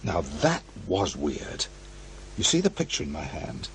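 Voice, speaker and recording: An actor playing a pushy, ambitious radio program maker, insistent and persuasive, in a radio drama. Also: male voice, low voice, wildly swooping pitch, unhurried, gravelly, slight background noise, exaggerated voice. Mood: eager, persuasive. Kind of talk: naturalistic radio drama dialogue.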